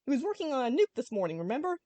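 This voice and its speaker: Woman reading as an eager female dragon, lively and higher. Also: rapid-fire, no echo. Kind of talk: hobby fan fiction reading.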